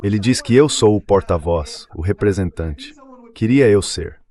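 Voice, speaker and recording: A man with a very low-pitched voice speaks as the guest in a political interview. It is a synthetic translated voice-over. The delivery is earnest and emphatic, stacking repeated phrases to make each point. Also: animated, a little slow, dark tone. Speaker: interview guest, commentator and professor. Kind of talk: AI-read translated dub of political commentary.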